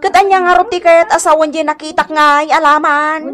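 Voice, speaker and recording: A woman's voice: high-pitched, fairly even intonation, a little fast, fairly bright tone. A woman playing a nosy, insistent, scornful gossiping neighbor in an Ilocano radio comedy drama, with an emphatic, suspicious way of talking. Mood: gossipy, insistent.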